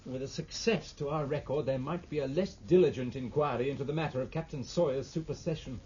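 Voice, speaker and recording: An actor playing an anxious, testy British naval captain in a 1950s radio drama, speaking in a formal, challenging manner. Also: male voice, mid-range voice, quick pace, mellow, lightly acted. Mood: worried, probing. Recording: muffled, noisy vintage radio recording.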